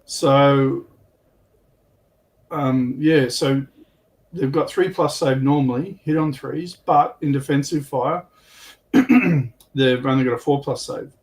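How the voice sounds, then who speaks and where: Guy on a hobby panel, medium pitch, explaining rules in a chatty way. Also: slow pace, long pauses, darkish tone, rough recording. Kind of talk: casual hobby panel chat.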